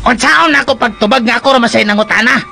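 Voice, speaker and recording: A man's voice: extremely high pitch, fast, creaky, bright, forceful tone, heavily acted. A man playing a jittery, scatterbrained household helper with a Cebuano accent, exclaiming and muttering to himself in comic radio drama style. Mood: panicky, fretful. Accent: Cebuano Filipino. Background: background music.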